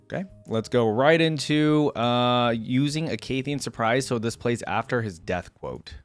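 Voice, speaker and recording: A man hosting a reaction video, chatting casually to his viewers in an animated way and laughing often. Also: mid-range voice, a little slow, faint music behind. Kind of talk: casual chat reacting to game audio.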